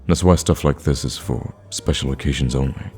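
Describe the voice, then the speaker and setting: A man playing a lovestruck villain in an ASMR romance roleplay, awkward yet cocky, speaking softly and intimately with hesitant stammers. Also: rumbling low, normal speed, faint music behind. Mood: smug, doting.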